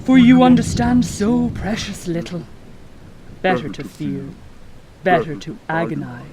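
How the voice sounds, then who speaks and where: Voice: medium pitch, reverberant, far from the mic, crowd noise, close to an everyday voice. An actor playing an ancient, malevolent inhuman entity in a horror audio drama, with a mocking, pompous, theatrical manner. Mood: sinister, taunting. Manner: slow, taunting, pompous, theatrical.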